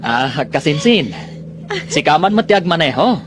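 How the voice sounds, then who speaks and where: A man's voice: fairly high pitch, very animated, measured pace. Playful young man over noisy ambience actor. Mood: teasing, cheerful. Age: young adult. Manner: teasing, coaxing. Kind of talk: radio drama acting. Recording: clearly noisy ambience behind voice.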